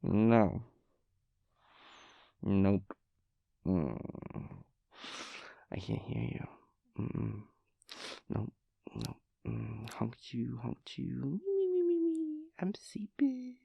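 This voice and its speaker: A man's voice: medium pitch, wildly swooping pitch, very slow, creaky, pauses often, husky, dry recording, highs cut off, exaggerated voice. A man playing a sleepy, playful boyfriend in an intimate audio roleplay, stammering and hesitant, with mock-serious rambling. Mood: playful, stubborn. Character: sleepy, playful, chaotic boyfriend refusing to get up.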